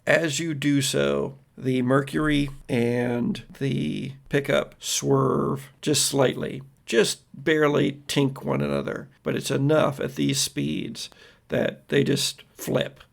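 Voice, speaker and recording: An American man with a very gravelly, fry-laden voice at a middling pitch. He works as a game master, narrating improvised horror. His storytelling is dramatic and deliberate, with pauses that trail off. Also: flat delivery. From United States. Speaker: game master and podcast host.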